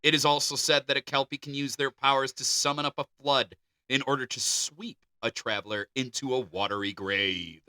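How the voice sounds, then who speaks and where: A man's voice: mid-range voice, moderate pace, no room sound. A man co-hosting a podcast, reading folklore aloud and joking between the passages. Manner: animated, jokey. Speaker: podcast co-host.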